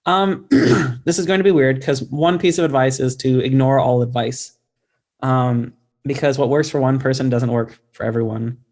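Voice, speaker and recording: A man's voice: medium pitch, steady tone, moderate pace, darkish tone. Informal, thoughtful man in conversation. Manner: informal, self-deprecating, thoughtful. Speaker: guest author. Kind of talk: casual interview conversation.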